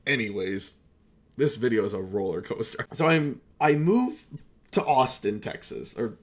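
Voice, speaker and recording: A young man with a medium-pitched voice rambles through a casual vlog. He swears freely and talks about himself in a self-deprecating way, like a creator looking back informally. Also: expressive, a little slow. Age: young adult. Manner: foul-mouthed, self-deprecating. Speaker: video creator.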